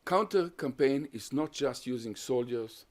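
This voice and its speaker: A man gives an academic talk in an even middle voice. He sets out his points one by one in a measured, explanatory way. He also takes questions from the audience. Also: mid-range voice. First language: Hungarian. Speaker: guest lecturer on terrorism research.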